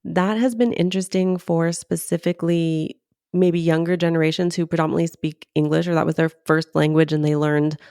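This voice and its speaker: Low-voiced woman explaining marketing advice. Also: fairly even intonation, a little fast, warm tone, clean tone, dry recording, studio quality. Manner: explanatory, conversational, uses "like" and "right?". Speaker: podcast co-host. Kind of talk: casual podcast chat, giving advice.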